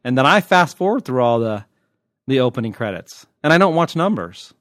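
An American man talks as a podcast host, loose and unscripted. He wanders off on tangents and jokes irreverently, with a medium-pitched voice. The sound is dry and close, with very little room. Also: very animated, a little fast, fairly bright tone, no room sound. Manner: irreverent, joking, digressive, mildly profane. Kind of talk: casual, unscripted podcast chat.

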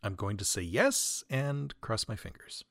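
A man hosting a comedy podcast in a wry monologue, deadpan and mock-grandiose, with florid, wordy phrasing. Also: lowish voice, unhurried, vocal fry, no echo, clean studio sound. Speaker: podcast host, writer and audio editor. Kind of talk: wry comedic monologue, answering listener mail.

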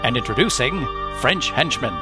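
A man's voice: mid-range voice, expressive, moderate pace, lightly acted. A man playing an old-time radio announcer in a parody, reading credits and sponsor copy in a formal, showy way. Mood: grandly promotional. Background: music.

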